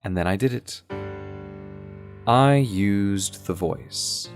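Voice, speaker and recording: A man reading a scripted first-person story in a measured storytelling manner. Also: lowish voice, animated, faint music behind. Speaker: audio fiction narrator.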